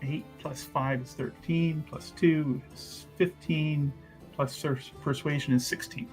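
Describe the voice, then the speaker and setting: A man's voice: a little high, steady tone, slow pace, soft background music. A man chatting casually as a player in a tabletop roleplaying game. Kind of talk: casual actual-play game chat.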